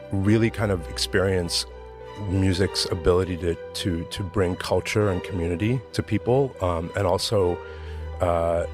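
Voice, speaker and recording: An American man from Maryland, a podcast guest, talking reflectively with lots of fillers. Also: deep voice. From Maryland, USA. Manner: reflective, loose, many fillers. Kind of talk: podcast interview.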